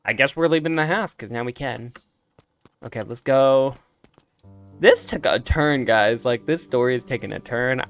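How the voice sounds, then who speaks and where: A man's voice: mid-range voice, normal speed, clean tone, no echo, faint music behind. A man doing casual, chatty game commentary, reacting aloud as he plays. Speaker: let's play streamer.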